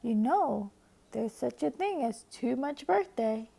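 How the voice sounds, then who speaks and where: A woman reading a storybook aloud and voicing a sensible, cautious mother bear in a measured tone. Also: on the high side, expressive, gravelly, warm tone, husky, heavily acted.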